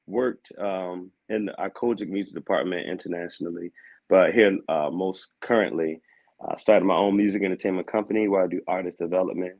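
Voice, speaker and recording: A man's voice: low-pitched, fairly even intonation, brisk, phone-quality sound. A man in his thirties, a vocal coach and assistant pastor, chatting easily as a guest and often saying "man". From Aberdeen, Maryland. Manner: easygoing, laughs often, says "man" a lot. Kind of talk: casual podcast chat.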